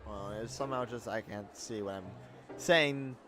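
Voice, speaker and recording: A man's voice: on the low side, moderate pace, bright, forceful tone, faint music behind. A young man giving casual, rambling, informal commentary as he streams a visual novel read-through. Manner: rambling, informal, bro slang.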